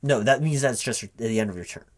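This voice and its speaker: A man in a spoken, unwhispered ASMR roleplay, talking casually and haltingly to an unheard partner as if puzzling things out aloud. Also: mid-range voice. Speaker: recruiting manager interviewing a gamer in a one-sided roleplay. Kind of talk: spoken ASMR roleplay, casual one-sided conversation, not whispered.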